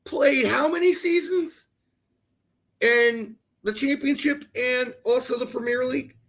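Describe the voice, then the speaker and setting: A man's voice: very high-pitched, unhurried, fairly bright tone. A man with an American accent chatting casually about sports as a livestream co-host, blunt and opinionated.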